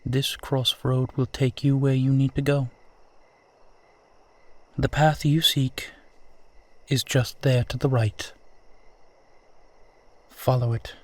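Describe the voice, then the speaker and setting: A courtly immortal vampire, played by a man, speaks very slowly in a mid-pitched voice. He is gentle and wistful, with formal, tender phrasing made for an intimate audio roleplay. The sound is close and dry. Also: no room sound, lightly acted. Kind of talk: intimate scripted roleplay monologue addressed to listener.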